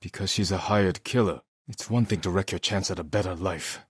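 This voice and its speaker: An actor playing a solemn, resolute samurai warrior in a video game, speaking in a measured, earnest, formal way. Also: male voice, deep voice, slightly rough, dry recording, studio quality. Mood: grave, focused. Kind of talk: dramatic video game voice acting.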